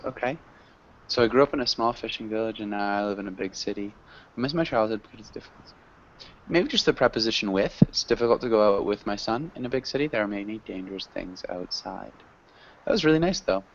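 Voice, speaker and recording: A man's voice: lowish voice, lively intonation, fast, vocal fry, slight background noise. Male teacher, online class, encouraging and patient, slightly muffled call audio. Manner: encouraging, corrects grammar patiently. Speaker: English teacher.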